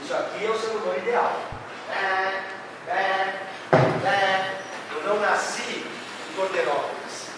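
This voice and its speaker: A man from Brazil with a São Paulo accent, giving a lively, theatrical literature lecture and addressing students directly. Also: high voice, a little slow, pauses often. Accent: São Paulo state Brazilian Portuguese. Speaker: literature teacher. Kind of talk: animated exam-prep lecture. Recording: echoey hall, far from mic, noisy.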